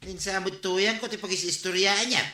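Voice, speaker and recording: A man's voice: high-pitched, steady tone, fairly quick, bright, forceful tone, reverberant. A man acting a touchy husband who scolds others for gossiping about his wife, in a radio drama. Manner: scolding, raises voice.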